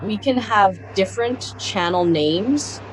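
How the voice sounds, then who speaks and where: A woman's voice: medium pitch, expressive, takes it slowly, a little hiss or hum, low-quality recording. A woman giving step-by-step instructional narration as a product demonstrator.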